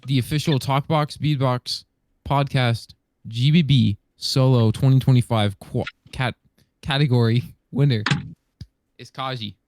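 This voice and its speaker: A man's voice: medium pitch, steady tone, a little slow, darkish tone. A man co-hosting a podcast in casual group chat. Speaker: podcast co-host.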